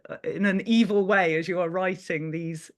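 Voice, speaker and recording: A woman's voice: on the low side, fairly even intonation, moderate pace. A woman hosting a panel, interviewing guests in a conversational way. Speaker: panel host.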